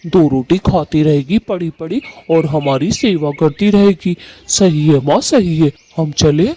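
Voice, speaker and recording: A man voicing Kalu, a harsh, bossy male bird husband in a cartoon who scolds loudly. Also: a little high, a little slow. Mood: pleased. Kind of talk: Hindi cartoon voice acting.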